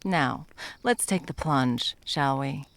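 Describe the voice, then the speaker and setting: An intense female monster hunter, acted by a woman for an ASMR roleplay. Her voice is gravelly and creaks at the edges. She speaks with deliberate, dramatic menace and open scorn. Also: very deep voice, a bit bright, a little hiss or hum, heavily acted. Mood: menacing, taunting.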